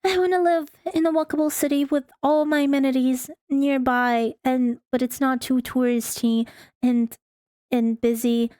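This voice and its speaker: A woman's voice: high voice, fairly even intonation, normal speed, warm tone, smooth, no room sound, studio quality. A woman streaming a visual novel, reading the text aloud and breaking into playful, casual asides. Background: faint music.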